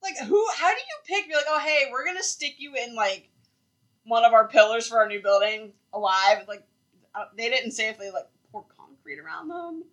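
A woman's voice: fairly high pitch, animated, normal speed, a bit bright, low-quality recording. A woman co-hosting a podcast, telling a story in casual chat, with lots of "like" fillers and frequent laughter. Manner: chatty, laughs often, many "like" fillers. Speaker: podcast co-host.

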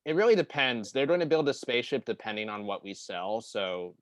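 A man's voice: mid-range voice, very animated, fairly quick, no room sound, close to an everyday voice. A man playing an eager, polite young door-to-door fundraiser in improvised comedy, with a hesitant sales patter.

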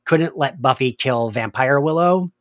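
A man's voice: medium pitch, measured pace, fairly bright tone, dry recording, studio quality. A man hosting a podcast in a casual, chatty and emphatic conversational way. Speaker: podcast host. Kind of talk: casual discussion.

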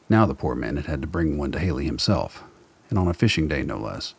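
A man's voice: very deep voice, vocal fry, breathy edge. A man narrating a scripted radio drama as a storyteller.

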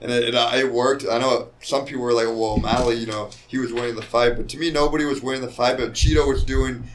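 A mid-pitched man, a professional fighter, sits for a casual interview. He talks in a loose, rambling way, circling back and restarting, easygoing and unhurried. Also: steady tone, a little hiss or hum. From Mexican heritage. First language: Spanish speaker. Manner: laid-back, rambling with many likes and restarts. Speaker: mixed martial arts fighter, guest.

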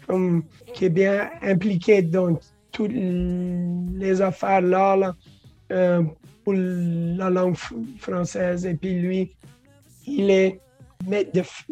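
Slow, halting woman. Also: on the low side, warm tone, rough recording. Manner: halting, restarts words, mixes English into French, laughs often. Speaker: guest. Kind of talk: casual interview talk.

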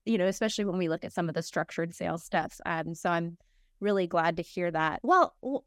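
Smooth-voiced woman podcast host. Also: lowish voice, a little fast, dry recording, clean studio sound. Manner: warm, appreciative, with filler words and restarts. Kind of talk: casual interview chat.

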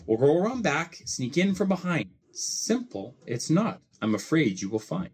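A man with a slightly rough, husky voice reads a children's storybook aloud. His pitch rises and falls freely, and he phrases the verse in a measured way with expressive emphasis. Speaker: host reading a children's book aloud.

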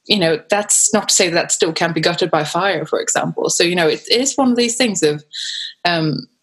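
A woman with a very low voice, originally from Sweden, talking through practical advice in a loose, chatty way. She leans on fillers and keeps circling back to her point. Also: moderate pace, breathy edge. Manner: chatty, many fillers like "you know" and "um". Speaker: panelist. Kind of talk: casual panel discussion.

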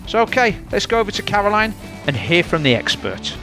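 A man's voice: high voice, sing-song, a little fast, music in the background, poor audio. Yorkshire man hosting a podcast. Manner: friendly, chatty, with fillers. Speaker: podcast host. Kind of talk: podcast interview.

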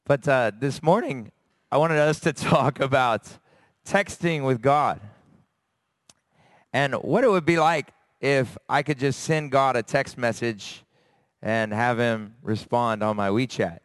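A man from America preaches to his congregation with a voice gone raspy and hoarse. He speaks earnestly, searching for words with pauses and fillers. His middle-pitched voice sounds close and dry on a handheld mic. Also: no room sound. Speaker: church elder preaching. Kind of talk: sermon. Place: church service. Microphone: handheld microphone.

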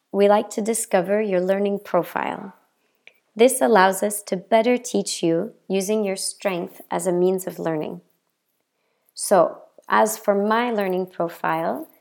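A woman from the American South with a Southern accent, introducing herself as a language teacher in a friendly, measured podcast talk. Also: mid-range voice, vocal fry, darkish tone. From Southern United States. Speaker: English teacher. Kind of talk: scripted podcast self-introduction.

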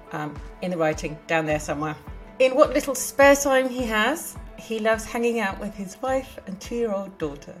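A woman hosting a podcast, with a mid-pitched voice. She talks in an upbeat, chatty way, and faint music plays behind her. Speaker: podcast host. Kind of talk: podcast intro and interview.

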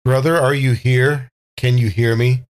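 A man acting a grave, deliberate older man. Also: medium pitch, steady tone, a little hiss or hum, lightly acted. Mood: searching. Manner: slow, deliberate. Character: possessed man, wary, grimly calm, older-sounding in young body.

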